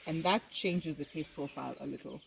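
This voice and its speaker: A tea farm guide outdoors, explaining tea processing in an informative, unhurried way. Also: medium pitch, gravelly, a bit bright, a little hiss or hum. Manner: informative, unhurried, uses fillers.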